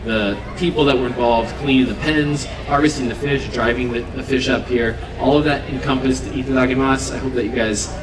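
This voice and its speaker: Earnest man talking from a distance outdoors. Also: medium pitch. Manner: earnest, unscripted, with frequent fillers and restarts. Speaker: seafood company representative. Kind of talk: live demo talk to an audience. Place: outdoor event stage under trees. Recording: roomy sound from far off with crowd.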